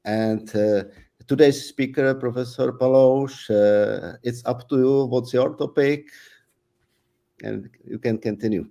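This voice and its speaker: A man, introducing the speaker in halting English with many fillers, heard from a distance. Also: medium pitch, creaky, warm tone. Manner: slow, hesitant introduction with fillers. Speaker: project organizer.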